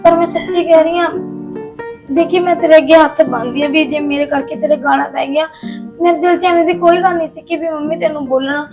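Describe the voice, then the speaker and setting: A woman's voice: high voice, steady tone, fast talker, music in the background. A woman playing a meek, sorrowful young woman. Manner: pleading, meek, tearful tone. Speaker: daughter. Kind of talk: short-film drama acting.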